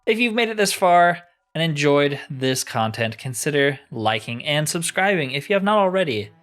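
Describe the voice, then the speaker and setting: A man's voice: fairly high pitch, big pitch swings, normal speed, fairly bright tone. A man hosting a gaming video, giving casual commentary on his roleplay playthrough.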